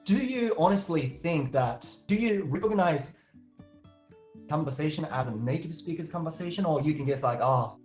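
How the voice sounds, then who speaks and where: A man's voice: a little high, lively intonation, normal speed, a little mellow, reverberant, soft background music. A Japanese man with a slight Australian accent, chatting hesitantly with English tutors and hosting his channel. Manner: hesitant, searching for words. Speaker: YouTuber interviewing English tutors. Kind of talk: casual chat and vlog outro.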